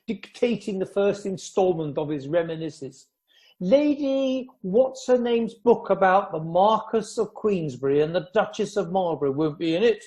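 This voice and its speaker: An actor playing a sardonic, blustering upper-class houseguest in an amateur radio play. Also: male voice, high-pitched, a little mellow.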